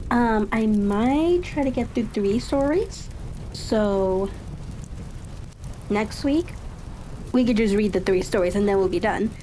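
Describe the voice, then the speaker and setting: A woman hosting a livestream, chatting casually and musing aloud to herself in an unhurried way. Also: medium pitch, mellow, slight background noise, low-quality recording. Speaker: streamer and host of a reading session.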